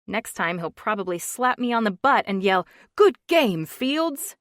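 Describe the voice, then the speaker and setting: A woman's voice: mid-range voice, sing-song, unhurried, fairly bright tone, dry recording. A woman voicing a frustrated woman in her thirties, wry and exasperated, in a dry, close reading. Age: 30s.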